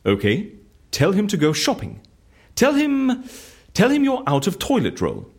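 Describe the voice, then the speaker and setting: A woman's voice: mid-range voice, huge pitch swings, unhurried, darkish tone, slightly rough, full character voice. An actress playing a wry, officious woman who runs a secret service, formal and businesslike, in an audio drama. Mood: curious, scheming.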